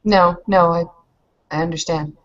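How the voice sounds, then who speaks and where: A woman's voice: lowish voice, fairly even intonation, unhurried, lo-fi sound. A woman improvising in character in a roleplaying game, hesitant and questioning. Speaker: player in an online roleplaying session.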